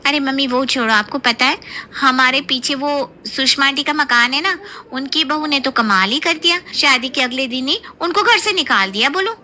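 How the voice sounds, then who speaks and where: A woman's voice: high-pitched, normal speed, pushed and bright. A woman playing a gossipy, mischievous young daughter in a cartoon story, chatty, insistent and needling. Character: gossipy, mischievous young daughter and sister-in-law, blurting everything. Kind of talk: Hindi cartoon story voice acting.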